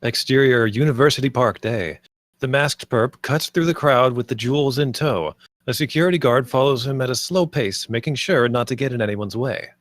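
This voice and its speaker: A man's voice: mid-range voice, normal speed, dry recording. A man reading stage directions as scripted narration at a screenplay table read.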